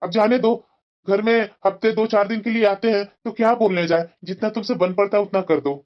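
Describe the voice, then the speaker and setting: A woman's voice: medium pitch, steady tone, fairly quick, lightly acted. A woman voicing a placating, reasoning husband and brother in a family cartoon drama. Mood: dismissive, soothing. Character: placating adult man, husband and protective brother. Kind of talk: Hindi family drama storytelling. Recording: dull, lo-fi sound.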